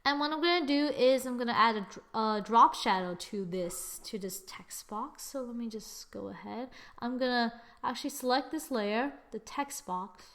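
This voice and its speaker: A woman with a slightly high voice talks through a screen-recorded software tutorial at her computer. She thinks aloud, corrects herself often and tosses in small exclamations as she works. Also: normal speed, hardly any pauses. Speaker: tutorial host.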